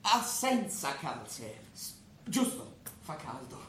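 An actor playing a bashful, pretentious young amateur poet in a stage comedy, halting and self-conscious. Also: male voice, a little high, big pitch swings, sharp tone, exaggerated voice. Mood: bashful, eager. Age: young adult. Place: theatre stage. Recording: echoey theatre recording, faint noise.

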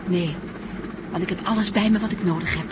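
A woman's voice: fairly low pitch, a little fast, dull audio, close to an everyday voice. An actress playing an anxious, pleading female survivor in a 1960s Dutch radio drama. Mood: calmer. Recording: noisy old radio play, vehicle sounds.

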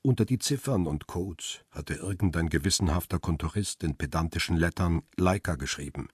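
An actor playing a weary middle-aged photographer who tells his own story in a measured, sober way for a radio drama. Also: no echo, studio quality. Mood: sober, reflective. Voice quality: low, husky. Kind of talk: radio drama first-person narration.

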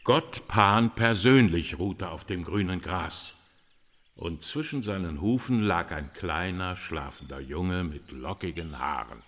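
A man narrating a fairy tale in a radio play, solemn and hushed. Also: low-pitched, a little slow, heavily acted. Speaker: storyteller. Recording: long reverb with music behind.

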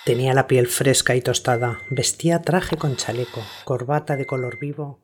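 A man narrating a scripted radio story in a measured, sober manner. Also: medium pitch, steady tone, a little hiss or hum.

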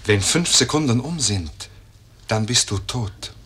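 An actor voicing the wind as a pitiless, macabre spirit in a radio play, ominous in manner. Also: male voice, medium pitch, expressive, a little slow, fairly bright tone. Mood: grim.

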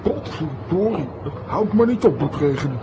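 A man's voice: a little high, very animated, moderate pace, highs cut off, noisy background. An actor playing a grumpy, exasperated grown man in a children's comic audio drama. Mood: grumbling. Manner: grumbling, exasperated.

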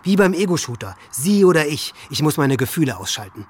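A man's voice: fairly high pitch, big pitch swings, a little fast, slightly rough. A man playing a disturbed, hate-filled teenage school shooter in a German audio drama monologue. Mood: grim, hateful.

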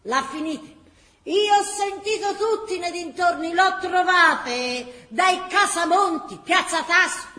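Radio-play Florentine neighbour played by a woman, agitated and colloquial, very high voice in an echoey space. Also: takes it slowly, sharp tone, full character voice. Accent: Florentine Tuscan. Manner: urgent, colloquial. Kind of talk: radio theatre acting. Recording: roomy stage echo.

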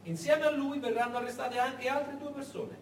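A man's voice: extremely high pitch, little pitch movement, moderate pace. A man performing a dramatic narrative monologue, full of rhetorical questions and emphatic repetition, as a stage storyteller. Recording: echoey distant theatre recording, faint noise.

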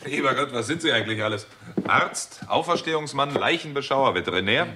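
An actor playing a wry, sceptical doctor who narrates a 1960s radio crime drama in a crisp, matter-of-fact manner. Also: male voice, mid-range voice, lively intonation, a little fast, noisy background, lightly acted.

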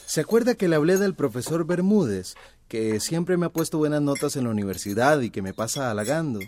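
A man's voice: fairly high pitch, animated, a little fast, a little mellow, a little hiss or hum, close to an everyday voice. Man playing earnest young son. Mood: excited. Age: young adult. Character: earnest, hopeful young university student son. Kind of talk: radio drama acting.

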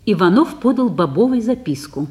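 A woman's voice: medium pitch, very animated, moderate pace, a little mellow. A woman reading scripted stage directions as the narrator of a Russian broadcast drama.

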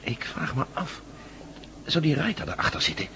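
A suspicious adventurer on the run in a radio play. His voice is bright and forceful, swinging widely in pitch, with an insistent edge. There is faint noise on the old recording. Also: sing-song, normal speed, gravelly, exaggerated voice. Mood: cautious, brooding. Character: wary, indignant adventurer on the run. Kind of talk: Dutch radio drama acting.

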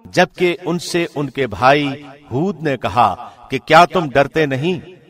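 A man narrating a scripture translation in a formal, measured, solemn announcer's delivery. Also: on the high side, expressive, a bit bright.

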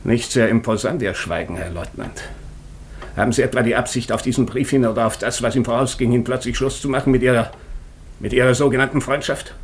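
A man acting as a blunt doctor in a radio play, with a medium-pitched voice. He is morally stern and passionate, pressing each point with incisive, sardonic insistence. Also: brisk, slight background noise, close to an everyday voice. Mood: stern, accusing. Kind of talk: German radio drama acting.

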